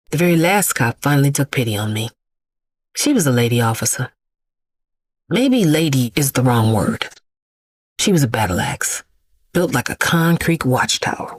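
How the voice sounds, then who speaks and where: An actress playing a grieving, defiant middle-aged mother in an audio drama monologue, speaking emphatically and deliberately. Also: low-pitched, dry recording. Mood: weary, sorrowful. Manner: emphatic, deliberate, repeating words for weight. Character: grieving, defiant mother, an immortal vigilante bag lady.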